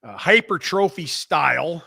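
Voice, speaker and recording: Man, Chicago accent, gravelly, bright tone, rowdy, profane, live show host. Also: a little high, expressive, measured pace. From Midwestern United States. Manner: loud, rowdy and profane, with bursts of laughter. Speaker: podcast host reading live chat. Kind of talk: casual banter on a live show.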